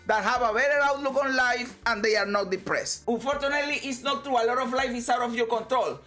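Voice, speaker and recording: Woman going off on a pep talk, swears a lot, says stuff twice, kinda high voice. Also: quick pace, a bit bright, faint music behind. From Venezuela. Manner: emphatic, swears freely, repeats phrases. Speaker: vlogger. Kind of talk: casual motivational monologue.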